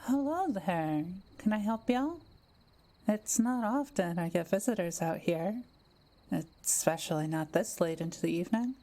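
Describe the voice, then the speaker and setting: A woman playing a kind, welcoming young witch who lives alone in the forest, speaking gently and playfully to the listener in a roleplay audio drama. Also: lowish voice, animated, slight background noise. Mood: curious, welcoming. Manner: gentle, unhurried, softly playful.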